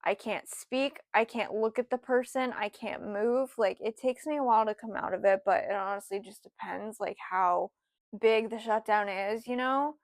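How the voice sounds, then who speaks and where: A woman in her twenties, rambling through a casual personal story with lots of fillers, her voice sometimes dropping soft. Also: mid-range voice, normal speed, no echo. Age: 20s. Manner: rambling, lots of "like" and "you know", voice drops soft at times. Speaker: vlogger.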